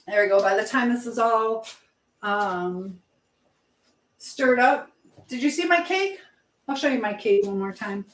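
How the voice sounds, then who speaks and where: A woman's voice: on the high side, unhurried, long pauses. A woman chatting casually as a livestream host while busy in a home kitchen, rambling informally as she answers viewers. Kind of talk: casual live chat while baking. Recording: echoey room with clear background noise.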